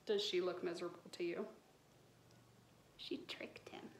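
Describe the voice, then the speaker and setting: A woman in her thirties reading a picture book aloud to children with dramatic, drawn-out emphasis. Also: fairly low pitch, level tone, creaky, whispered. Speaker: children's storyteller. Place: small bright room indoors. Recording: roomy indoor echo.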